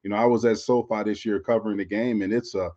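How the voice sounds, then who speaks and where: A man's voice: fairly low pitch, steady tone, quick pace, dark tone, dull audio. An American man from Florida, a former player co-hosting a sports podcast, chats casually and circles back over his phrases with frequent filler tags. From Florida, United States. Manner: conversational, repeats phrases, often says "you know". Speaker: former pro football player, podcast co-host.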